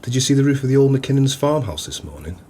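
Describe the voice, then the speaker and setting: An actor playing a grumbling, florid-tongued pub landlord in an audio play, with a theatrical manner. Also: male voice, medium pitch, big pitch swings, fast, dark tone, slight background noise, close to an everyday voice.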